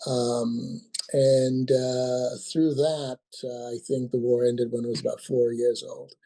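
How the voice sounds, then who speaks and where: A man of about sixty, from Nigeria, answering as a guest in a relaxed interview. His voice is husky and mellow. He hesitates a lot, stopping and restarting his phrases. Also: mid-range voice, takes it slowly, rough recording. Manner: hesitant, many ums and restarts. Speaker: guest, company founder. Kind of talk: casual interview talk.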